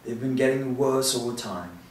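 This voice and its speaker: A man reading the part of a reserved male doctor at a table read, explaining his case in a steady way. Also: medium pitch, moderate pace, close to an everyday voice. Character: reserved male doctor who mixes his own drugs. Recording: roomy echo, distant mic, faint hiss.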